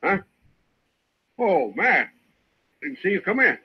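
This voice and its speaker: A man's voice: high-pitched, sing-song, slow, slightly rough, exaggerated voice. A man playing a proud, fatalistic blacksmith in a radio western, plain-spoken and resigned. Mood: wary. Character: proud, fatalistic frontier blacksmith, stubborn about honor. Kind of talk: old-time radio western acting. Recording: muffled vintage radio recording.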